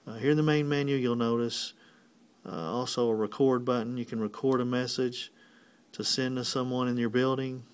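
A man's voice: mid-range voice, fairly even intonation, normal speed, clean tone. A man giving a step-by-step tutorial as a technician, explaining plainly and methodically. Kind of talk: instructional step-by-step walkthrough.

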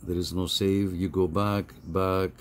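A middle-aged man giving a casual, halting, step-by-step phone app tutorial over some background noise. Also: sits low, steady tone. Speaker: tutorial host.

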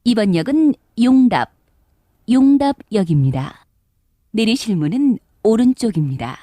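A woman giving recorded subway announcements in a polite, measured, formal manner, recorded in a studio. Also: fairly high pitch, expressive, dark tone, dry recording.

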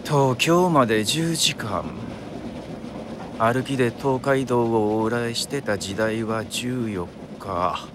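An actor playing a refined, contemplative gentleman traveller in a radio drama, unhurried and speaking with a Kyoto accent. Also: medium pitch, noisy background, lightly acted. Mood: pensive. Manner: unhurried, reflective. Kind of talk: radio drama acting with musing monologue.

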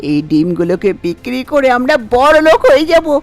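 A woman's voice: high-pitched, very animated, brisk, faint music behind. A woman voicing a demanding, greedy older village mother-in-law in a cartoon fairy tale, with a bossy, calling manner. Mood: gleeful greedy.

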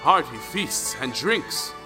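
A man's voice: medium pitch, big pitch swings, takes it slowly. Echoing male storyteller over music. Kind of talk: scripted folktale narration in an audioplay. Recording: long reverb, clear music underneath.

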